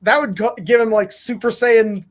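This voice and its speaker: Guy with a high voice reading fanfic and chatting, podcast host. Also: fairly even intonation, moderate pace. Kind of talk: casual read-aloud and group chat.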